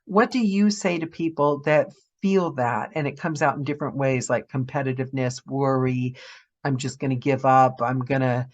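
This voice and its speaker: A woman's voice: a bass voice, steady tone, moderate pace. A woman hosting a podcast interview. She asks long questions and responds with emphatic enthusiasm. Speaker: podcast host. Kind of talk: interview conversation.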